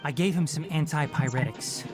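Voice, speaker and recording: A man voicing a young forest ranger and healer in a video game, brisk, matter-of-fact and articulate. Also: fairly high pitch, lightly acted. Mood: serious. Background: game music.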